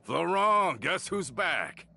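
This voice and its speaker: A man's voice: on the high side, big pitch swings, takes it slowly, vocal fry, sharp tone, slightly rough, full character voice. An actor playing a friendly, blunt male gang member just out of prison, hearty and talkative. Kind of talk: game voice acting.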